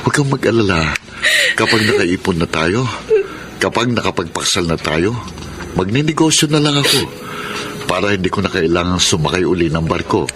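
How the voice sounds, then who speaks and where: A man's voice: low-pitched, expressive, normal speed, a bit bright, a little hiss or hum. An actor playing a devoted young seaman fiancé in a Filipino radio drama, soothing and earnest.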